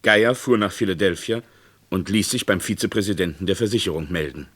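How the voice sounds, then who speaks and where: Casting: male narrator, slightly low register, measured and factual, for a scripted true-crime radio drama. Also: a bit bright. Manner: sober, measured, documentary-like.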